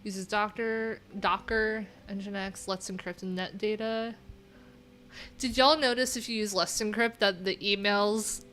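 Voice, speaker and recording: A woman's voice: mid-range voice, fairly even intonation, unhurried, a bit bright, a little hiss or hum. A woman livestream host chatting casually and reading things aloud, with wry, informal, rambling asides. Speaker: livestream host, web developer.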